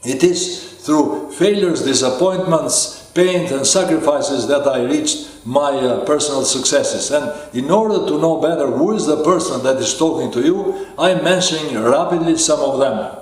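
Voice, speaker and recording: A man who speaks English as a second language, giving a motivational lecture as a life coach. He speaks slowly and deliberately, with many hesitations and fillers. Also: fairly high pitch. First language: not English. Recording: very echoey room.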